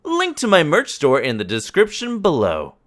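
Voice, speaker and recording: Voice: mid-range voice, wildly swooping pitch, normal speed, fairly bright tone. A video channel host reading an upbeat, salesy promo with music behind.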